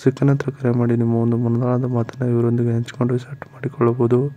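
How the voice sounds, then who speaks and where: A man's voice: medium pitch, monotone, fairly quick, warm tone, lo-fi sound. A man narrating a scripted profile voice-over in a formulaic, repetitive announcer-like way. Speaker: channel host narrator.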